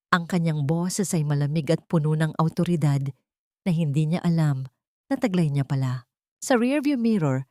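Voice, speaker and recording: Low-voiced woman narrating melodrama. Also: darkish tone, no echo, studio quality. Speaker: story narrator. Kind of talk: scripted dramatic audio-story narration.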